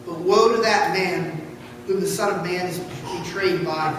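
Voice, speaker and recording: A woman reading scripture aloud in church, solemn and deliberate, with dramatic phrasing. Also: lowish voice. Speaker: scripture reader. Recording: echoey hall from far off, faint noise.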